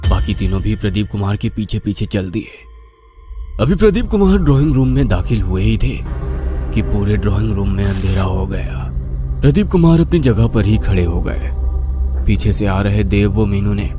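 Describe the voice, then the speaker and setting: A man's voice: lowish voice, lively intonation, moderate pace, over music. A man narrating a scripted horror cartoon story.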